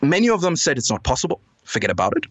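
A man from Zambia with a Zambian accent, speaking as a guest commentator in an earnest, measured way that builds to emphatic points. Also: fairly high pitch, huge pitch swings, no room sound. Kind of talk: conversational analysis on a podcast.

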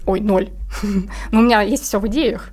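Casting: female interview guest, medium pitch, casual conversational delivery, informal explanations. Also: moderate pace, darkish tone, slight background noise. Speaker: interview guest, comic artist.

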